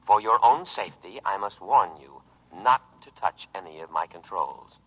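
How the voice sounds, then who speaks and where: An actor playing a calm, pompous, paranoid war machine, a talking lifeboat, with solemn, measured oratory in an old radio drama. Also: male voice, low voice, heavily acted. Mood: sternly unyielding. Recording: muffled vintage radio broadcast recording.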